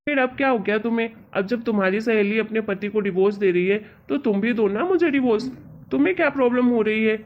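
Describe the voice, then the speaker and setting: A woman's voice: fairly high pitch, fast, a little hiss or hum, natural voice. A woman playing an exasperated, reasoning husband in an amateur cartoon story. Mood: teasing, needling. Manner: reasoning, chiding, colloquial. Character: exasperated young husband, patient and teasingly lecturing. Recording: dull, lo-fi audio.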